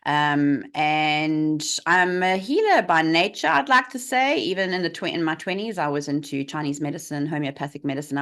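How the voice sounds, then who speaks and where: A woman in her forties with a South African accent, speaking as an interview guest. Her voice sits low, and she tells her story frankly with plenty of "um"s and "uh"s. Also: a bit bright. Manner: candid, storytelling, many fillers. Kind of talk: interview over video call.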